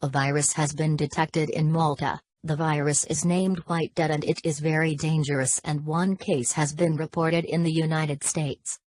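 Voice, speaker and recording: A woman's voice: sits low, level tone, moderate pace, hardly any pauses, darkish tone, no echo. A woman voicing a formal emergency alert announcer in a scripted broadcast, with a stilted, synthetic-sounding delivery. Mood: formal, grave.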